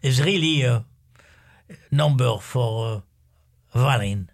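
An older man from Algeria, a guest musician, talking in a casual interview in a halting way, with many pauses and fillers. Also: medium pitch, vocal fry.

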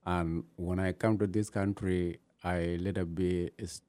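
A man from Ethiopia, telling his own story in halting, with many pauses. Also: low voice, flat delivery, dark tone. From Gambela, Ethiopia. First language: not English. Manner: halting non-native English, many pauses. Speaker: interviewee, new citizen. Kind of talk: personal story in an interview.

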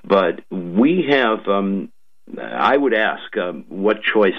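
A man, a lawmaker guest on a radio show, speaking slowly with many ums and uhs. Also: low voice, very animated. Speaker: state legislator guest. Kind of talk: radio interview, policy talk. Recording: muffled lo-fi radio audio.

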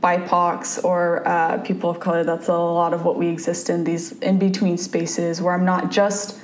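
Soft-voiced woman, roomy echoey sound. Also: fairly low pitch, monotone, normal speed. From Japan. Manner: thoughtful, many fillers and restarts. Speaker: campus ministry staff, guest. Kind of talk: conversational interview. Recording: roomy echo, faint background noise.

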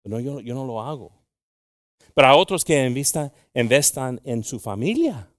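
Mexican American pastor preaching bilingually. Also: male voice, mid-range voice, animated, moderate pace, no room sound, studio quality. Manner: switches between English and Spanish, joking with the congregation. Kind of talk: bilingual sermon.

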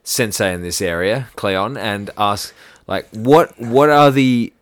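A man's voice: fairly low pitch, takes it slowly, dry recording. An Australian man co-hosting a podcast, chatting casually and hesitantly as he searches for his words. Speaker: podcast co-host. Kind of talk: casual whisky podcast chat.

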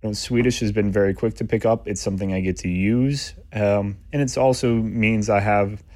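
A man speaking as a podcast guest in a relaxed, thoughtful interview conversation. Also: on the low side, dry recording. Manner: thoughtful, measured, occasional laughs.

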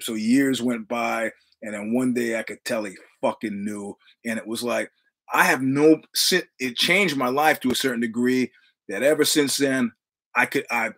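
A husky-voiced man in his sixties with a New York accent, spinning rambling stories in casual conversation. He swears freely and acts out the other people's dialogue as he goes. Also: medium pitch, fairly bright tone. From New York City. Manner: profane, rambling anecdotes with quoted dialogue. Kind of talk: casual storytelling.

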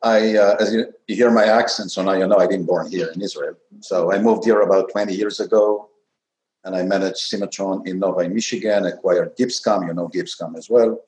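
A man's voice: low-pitched, steady tone, brisk, a little mellow. A man founder on a video call panel, speaking non-native English with frequent grammar slips. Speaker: company founder, panel guest. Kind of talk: unscripted panel talk. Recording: roomy echo.

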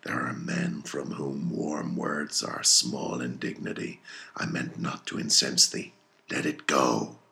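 A man voicing an obsessed, domineering old whaling captain in a storybook reading, gravelly, brooding and grandiose. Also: a bass voice, little pitch movement, slow, bright, forceful tone, full character voice. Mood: soothing, sly. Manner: grandiose, archaic, commanding. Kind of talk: dramatic audiobook character reading.

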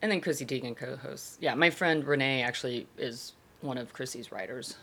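A woman's voice: very deep voice, a little fast, pushed and bright. A woman chatting casually with friends in a laid-back, slangy way. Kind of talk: casual group chat.

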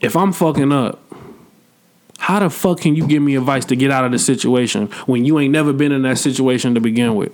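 A man's voice: medium pitch, a little fast, a little mellow. A man in his twenties hosting a podcast, chatting in a blunt, slangy and reflective way. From Midwest United States. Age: 20s. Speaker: podcast host. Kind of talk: casual podcast chat.

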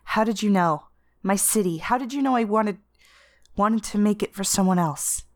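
A woman's voice: mid-range voice, pitched above the performer's own voice, natural voice. Anguished, urgent woman, acted by a female reader. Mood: shaken, bewildered. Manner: urgent, emotional, halting. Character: anguished, desperate woman pleading over lost love. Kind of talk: dramatic audio fiction reading.

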